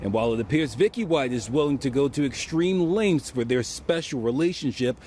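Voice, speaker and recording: A man's voice: mid-range voice, lively intonation, fairly quick, a little mellow, slight background noise. A man narrating a scripted television news report as a correspondent.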